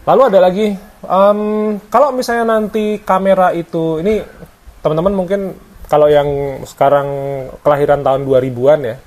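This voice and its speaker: A man's voice: on the high side, normal speed, darkish tone. A man, talking casually to camera as a photographer vlogger, with frequent fillers and English words mixed in. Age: 30s. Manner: conversational, hesitant with fillers, mixes in English words. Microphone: clip-on lavalier.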